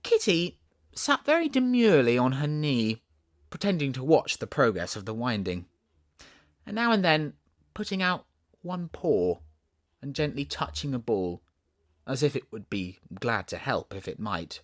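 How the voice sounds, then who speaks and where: A man with a Southern accent reading a storybook as an audiobook narrator, in an expressive, theatrical way. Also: mid-range voice, unhurried, no room sound, clean studio sound.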